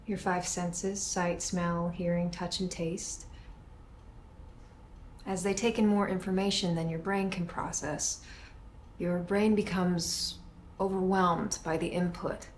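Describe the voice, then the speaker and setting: A woman playing a calm therapist in an audio horror drama, speaking in a measured, reassuring way. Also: fairly low pitch, a little hiss or hum. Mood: calm, clinical. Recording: faint music behind the voice.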